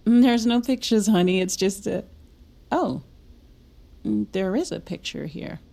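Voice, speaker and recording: An actress playing a fragile, desperate, grieving mother in an audio drama, with a halting delivery. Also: medium pitch, creaky, mellow. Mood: tender, uneasy. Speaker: lead character in dramatic scene. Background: music.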